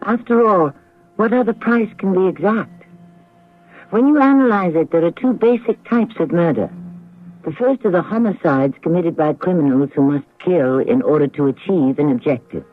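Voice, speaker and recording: A man's voice: high-pitched, animated. Ominous male mystery host, tinny radio. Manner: deliberate, philosophical, ominous. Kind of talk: dramatic scripted narration. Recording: tinny radio broadcast, faint music.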